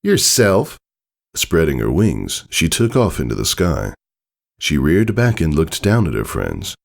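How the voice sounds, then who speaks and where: A man's voice: very deep voice, creaky. A man reading a story aloud as a narrator, in dramatic scripted storytelling style. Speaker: story reader.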